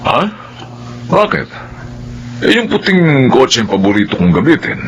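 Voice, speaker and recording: A middle-aged male head of a rich household. He questions with authority, in a very swooping, expressive melodrama delivery. Faint noise sits on the recording. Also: mid-range voice, a little slow. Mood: questioning. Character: wealthy father and employer, attached to his car. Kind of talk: Filipino melodrama dialogue.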